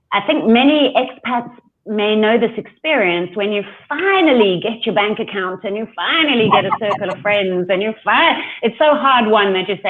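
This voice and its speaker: A woman with a South African accent, a coach chatting as a guest on a video call, laughing easily. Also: mid-range voice. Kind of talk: casual video call chat. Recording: tinny phone-like call audio.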